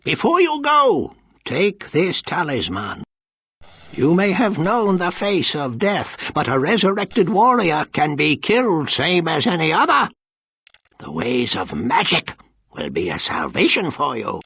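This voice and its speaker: Fantasy game mystic, old man: high-set, raspy, slow, theatrical, ominous. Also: huge pitch swings, pushed and bright, no echo, heavily acted. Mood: ominous, cryptic. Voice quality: gravelly, raspy. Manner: theatrical, drawn-out cryptic delivery. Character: cackling, theatrical old mystic sage with cryptic warnings. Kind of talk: fantasy video game character acting.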